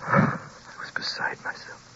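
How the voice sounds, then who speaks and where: A man playing a frightened, haunted young traveler in a 1940s radio drama, speaking with halting, dramatic intensity. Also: pushed and bright, whispered. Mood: shaken, brooding. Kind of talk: 1940s radio drama acting, first-person narration. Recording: muffled, noisy old radio recording.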